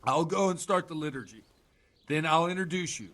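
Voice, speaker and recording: An actor playing a hungover, scheming village priest in a video game, groaning and coarse-mouthed in a dramatic way. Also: male voice, fairly high pitch, lively intonation, brisk, fairly bright tone. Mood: brisk, conspiratorial. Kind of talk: video game cutscene acting.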